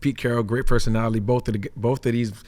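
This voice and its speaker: A man who is a former pro football player speaks quickly and emphatically on a sports talk show. His voice sits at an ordinary mid pitch, and he explains plays with clear energy. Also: steady tone, darkish tone.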